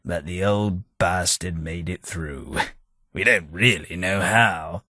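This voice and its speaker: An actor playing an eccentric, long-lived aristocrat who rambles through wry, theatrical stories in a husky voice, for a video game. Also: male voice, sharp tone, no echo, exaggerated voice. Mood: rambling. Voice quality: deep, husky, slow and theatrical. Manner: rambling storyteller, dry self-mocking wit. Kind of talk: game voice acting.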